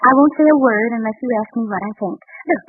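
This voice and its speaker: Gentle managing young wife: soothing, coaxing delivery, vintage radio sitcom, thin telephone-like sound. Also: female voice, a little high, quick pace, husky. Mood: placid, admiring. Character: sweet, patient, gently managing young housewife. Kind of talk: 1930s radio situation comedy acting. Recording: tinny old 1930s radio recording.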